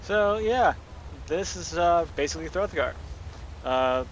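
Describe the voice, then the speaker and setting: A man hosting a gaming video with casual, halting, unscripted commentary. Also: fairly high pitch, lively intonation, muffled recording. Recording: faint game noise behind voice. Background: video game ambience.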